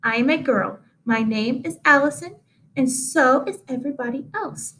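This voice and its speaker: A woman's voice: high voice, normal speed, fairly bright tone, low-quality recording. A woman voicing a matter-of-fact young schoolgirl in a storybook, firmly explaining herself. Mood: insistent.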